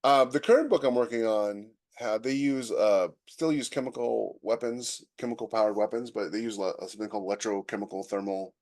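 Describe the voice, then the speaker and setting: Halting man with a lowish voice. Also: darkish tone. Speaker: podcast co-host, science fiction author. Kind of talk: casual chat about sci-fi writing.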